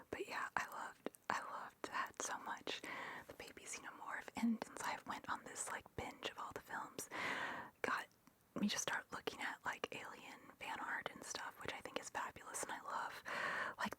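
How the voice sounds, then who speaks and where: A woman's voice: a little high, bright, forceful tone, husky. A woman whispering a casual, opinionated and geeky ramble as an ASMR host.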